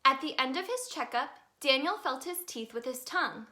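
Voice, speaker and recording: A woman reading a children's story aloud in an expressive, sing-song way for young listeners. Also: sits high, moderate pace. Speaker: children's storybook reader, in a tooth fairy persona.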